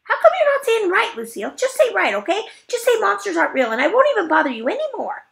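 A woman voicing a bossy, dramatic little kindergarten girl with a high, shrill, insistent voice. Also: sing-song, a little fast, fairly bright tone. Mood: pleading, insistent.

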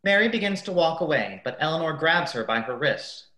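A woman's voice: low voice, sing-song, fairly quick, fairly bright tone, reverberant. A woman narrating a radio play, reading out scripted stage directions.

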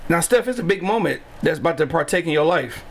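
Chill slangy guy in his 30s hosting a podcast, kinda high voice, studio mic in a small room. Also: expressive, fast. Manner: laid-back, slangy, frequent "you know what I'm saying". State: sore back and neck after car crash. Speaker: podcast host. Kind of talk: casual interview chat. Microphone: studio mic on boom, headphones.

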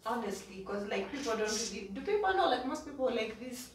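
A young Kenyan woman hosting an interview, asking questions in a relaxed, encouraging manner. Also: on the high side, fast talker. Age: young adult. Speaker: host interviewer. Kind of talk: casual interview chat. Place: small indoor room with kitchen cabinets. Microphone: far camera. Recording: very echoey room, distant mic, faint noise.

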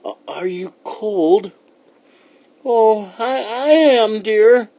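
A man voicing a kindly, mysterious old woman in a children's storybook, with slow, emphatic delivery. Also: sits high, warm tone, highs cut off, pitched above the performer's own voice, heavily acted. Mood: cold, frail.

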